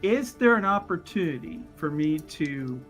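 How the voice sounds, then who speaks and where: Man, high-pitched, bright forceful voice, tabletop game player, casual, jokey, third-person character narration. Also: animated, measured pace, soft background music.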